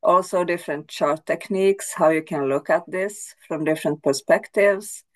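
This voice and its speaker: Low-voiced woman, thoughtful astrologer. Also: moderate pace. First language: Swedish. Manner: thoughtful, hesitant with restarts. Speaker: astrologer and guest speaker. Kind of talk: casual interview.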